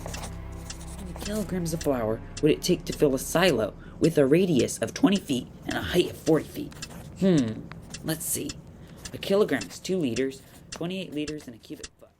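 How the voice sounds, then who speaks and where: A woman playing a bright, modest young boy in an audio drama, polite and questioning, thinking aloud. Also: sits low, animated, moderate pace, background noise. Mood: puzzled, pondering. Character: bright, modest, earnest orphan boy taking a test.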